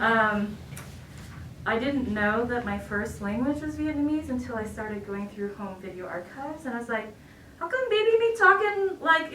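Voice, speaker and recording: A woman's voice: on the high side, pushed and bright. A woman poet talking casually to introduce her reading, informal, chatty and self-deprecating. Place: bookshop reading event. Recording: echoey room, distant mic, noisy.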